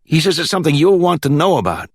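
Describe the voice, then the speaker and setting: A man voicing a higher-pitched young cop. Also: sing-song, quick pace, husky, no room sound, clean studio sound, full character voice. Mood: deferential, urgent. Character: young hot dog male cop, deferential bodyguard.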